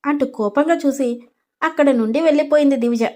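Female storyteller, clearly high-pitched voice, scripted fiction narration. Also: a little fast, a little mellow.

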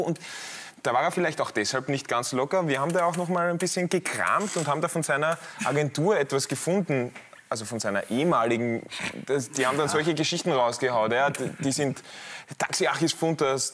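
A man in his thirties hosts a football talk show from a TV studio. He steers the discussion and slips in jokes, at a middling pitch. A faint hiss sits under his voice. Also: a bit bright. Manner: jokey, leads the discussion. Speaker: host.

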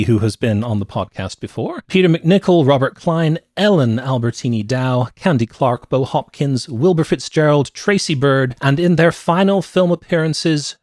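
A man's voice: mid-range voice, lively intonation, moderate pace, few pauses, darkish tone, no echo. A middle-aged man co-hosting a conversational movie review podcast, speaking into a close microphone in a small home room. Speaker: podcast co-host. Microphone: close podcast microphone with headset.

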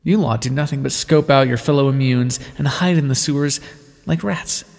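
Guy doing an aloof vampire scientist for asmr roleplay, creaky voice, wry and condescending, big echoey reverb. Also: medium pitch, normal speed, a little mellow, lightly acted. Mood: cynical, disdainful. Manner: wry, condescending, formal wording with pet names. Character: aloof, teasing male vampire scientist, reluctant ally. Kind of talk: ASMR roleplay, scripted intimate monologue to the listener.

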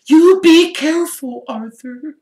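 A man's voice: very high-pitched, sing-song, unhurried, far from the mic, voice pushed up, full character voice. A man voicing an anxious, fussing, motherly middle-aged woman who frets and scolds, read in an echoey room. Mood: scolding. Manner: fretful, scolding, breathless.

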